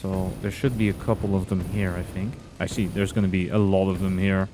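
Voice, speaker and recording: Relaxed low-voiced young man. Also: fairly quick, slight background noise. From Belgium. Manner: relaxed, chatty, reading and answering chat. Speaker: streamer. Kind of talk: casual gameplay commentary with chat.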